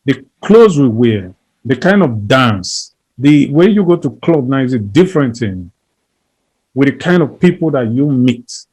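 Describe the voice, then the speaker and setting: A man's voice: medium pitch, very animated, a little slow, darkish tone, slightly rough, rough recording. Earnest man speaking in repeated lists. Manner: emphatic, repeats phrases, builds lists. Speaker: interview guest. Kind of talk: earnest conversational advocacy.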